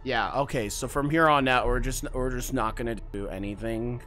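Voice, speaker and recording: A streamer giving casual, expressive livestream commentary while playing a game. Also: medium pitch, moderate pace. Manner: animated, expressive. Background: game music.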